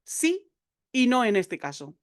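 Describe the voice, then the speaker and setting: A woman teaching copywriting in a video lesson, speaking straight to the viewer with a lively, chatty manner and frequent fillers. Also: on the high side, takes it slowly, no echo, clean studio sound. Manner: lively, addresses viewer directly, many fillers.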